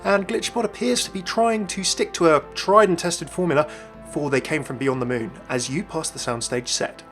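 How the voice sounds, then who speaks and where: A man's voice: a little high, normal speed, fairly bright tone, faint music behind. A young man hosting a gaming video with scripted narration. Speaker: YouTube gaming host.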